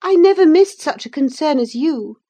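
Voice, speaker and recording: A haughty young lady, acted out by a woman narrator in a classic storybook reading. Her voice is very high and cutting, full of imperious disdain and a temper that is quick to rise. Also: expressive, dark tone. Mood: cold contempt. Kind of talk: dramatised audiobook reading of a classic novel.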